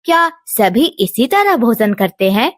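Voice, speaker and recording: A woman's voice: on the high side, sing-song, unhurried, dry recording, clean studio sound. Woman voicing an innocent, earnest young boy. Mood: curious. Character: earnest young village boy, caring about friend.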